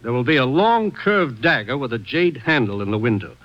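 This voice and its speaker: Male actor as government commissioner: medium pitch, formal, authoritative briefing voice, vintage radio drama. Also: expressive, normal speed, highs cut off, natural voice. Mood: brisk, authoritative. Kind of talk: old-time radio drama acting. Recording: vintage radio broadcast recording.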